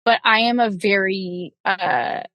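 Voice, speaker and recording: A woman's voice: mid-range voice, steady tone, takes it slowly, fairly bright tone, dry recording. A young woman guest talking candidly in a casual podcast chat, with many fillers and restarts. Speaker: guest composer and vocalist.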